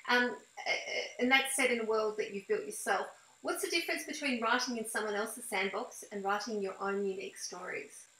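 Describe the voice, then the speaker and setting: A woman's voice: mid-range voice, a little fast, sharp tone. A middle-aged woman asking questions in a conversational interview style. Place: small home room with blinds. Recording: roomy, echoing home recording.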